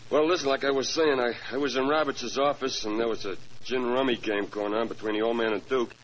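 A man's voice: mid-range voice, fairly even intonation, brisk, close to an everyday voice. Man doing a slangy, chummy old-radio garage mechanic. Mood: animated, gossipy. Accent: American. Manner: slangy, casual, emphatic talk. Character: chummy, plainspoken working-class male garage mechanic. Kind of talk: 1940s radio drama acting. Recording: noisy vintage 1940s radio recording.

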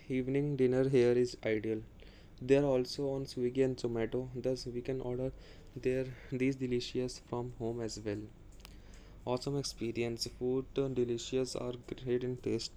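A man reading customer reviews aloud in a halting way. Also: medium pitch, mellow. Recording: noticeable background noise.

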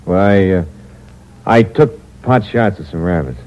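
A muffled, humming old radio recording carries a very low-voiced American killer. He is cynical and slangy, terse in his hardboiled storytelling, and his pitch swings widely in a 1940s thriller style. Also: lively intonation, measured pace, a little mellow. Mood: wary, smooth. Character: cold-blooded young male killer posing as a nephew, cynical, slangy. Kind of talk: 1940s radio thriller acting, first-person hardboiled narration.

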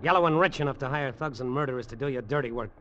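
Man as desperate young hero, forceful bright voice. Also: medium pitch, rapid-fire, natural voice. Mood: bitter. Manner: intense, blunt, emphatic. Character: desperate, defiant young man pushed toward murder. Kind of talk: 1940s-50s radio drama acting. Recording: muffled, noisy vintage radio recording.

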